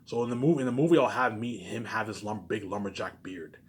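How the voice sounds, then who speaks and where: A man's voice: on the low side, fast talker, a little hiss or hum. A man delivering a rambling solo vlog into a phone, emphatic, boastful and repetitive, often asking questions and answering them himself. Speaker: vlogger. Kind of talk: rambling solo monologue to camera.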